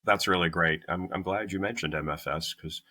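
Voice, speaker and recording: Bright-voiced male podcast host. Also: low voice, brisk. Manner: rambling questions with many fillers. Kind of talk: casual interview hosting.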